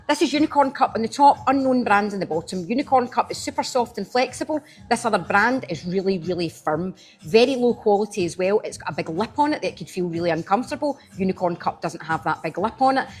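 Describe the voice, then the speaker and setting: A woman with a strong Glaswegian accent talks through a live product demo, stopping often to greet and thank viewers by name. Her voice is breathy and a little husky, sitting at an ordinary middle pitch. It is recorded close to the mic in a casual, friendly way. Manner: chatty, answers viewers by name while demoing. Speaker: live-selling host.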